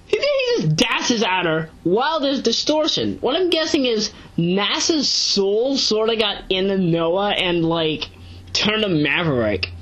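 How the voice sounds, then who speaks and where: A woman giving casual, unscripted commentary as an online reviewer, rambling and halting as she corrects herself. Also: mid-range voice, sing-song, lo-fi sound. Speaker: online video reviewer and narrator. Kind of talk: casual unscripted commentary recapping a web series.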